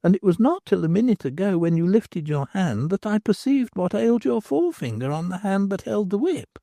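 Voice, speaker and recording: A woman's voice: mid-range voice, very animated, brisk, creaky, warm tone, no echo, clean studio sound, pitched above the performer's own voice. A reader voicing a grave young woman who explains things in a formal, careful way. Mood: earnest.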